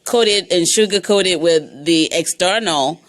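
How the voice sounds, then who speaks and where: A woman from Nigeria, a guest counselor in a radio interview, giving earnest, measured advice. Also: lowish voice. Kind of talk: interview answers.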